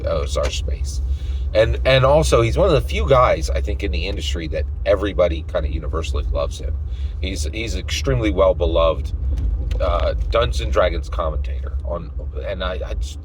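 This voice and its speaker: A man's voice: low voice, a little hiss or hum, poor audio. A man giving unscripted opinion commentary in an emphatic way, repeating phrases and checking his points with short questions. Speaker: tabletop gaming commentator.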